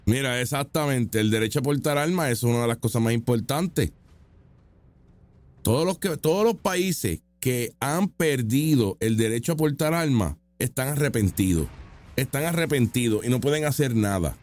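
A man's voice: medium pitch, lively intonation, normal speed, a little mellow. A man giving casual, opinionated reaction commentary, mocking and full of rhetorical questions. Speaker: political commentator reacting to street interview clips.

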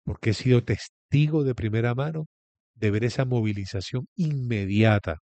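A man's voice: medium pitch, moderate pace, dark tone, no echo. A man from Venezuela, a guest pastor giving his testimony in an interview in an earnest, preacherly storytelling way.

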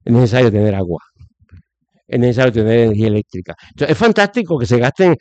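A man from Puerto Rico speaks with a Puerto Rican accent, pressing his opinions hard and stumbling over fillers and restarts. His voice sits at an ordinary pitch. The recording is a little dull and dry, with no room sound. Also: lively intonation, quick pace, darkish tone, dry recording. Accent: Puerto Rican Spanish. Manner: emphatic, many fillers and restarts. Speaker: guest commentator. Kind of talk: opinionated conversational commentary.

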